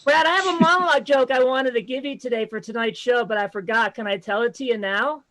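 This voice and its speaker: A comedian in his fifties with an unusually high voice for a man, telling self-deprecating jokes in a deadpan way over a video call. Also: quick pace, low-quality recording. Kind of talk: stand-up comedy set.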